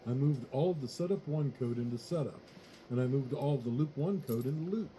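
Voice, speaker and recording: A man narrates a scripted how-to in a very soft-edged, dark voice at a middle pitch. His delivery is measured and matter-of-fact, with faint noise in the recording. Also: low-quality recording. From United States. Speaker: electronics tutorial narrator.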